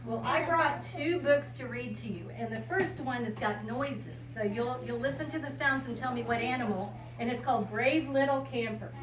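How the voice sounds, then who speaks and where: An older American woman speaks to young children in a simple, explanatory way, as a visiting storyteller would. Her voice is on the high side. The recording is distant and echoey, with strong noise behind her. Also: moderate pace, hardly any pauses. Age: 60s or older. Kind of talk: informal talk and picture-book reading to children. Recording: echoey room, distant mic, loud background noise.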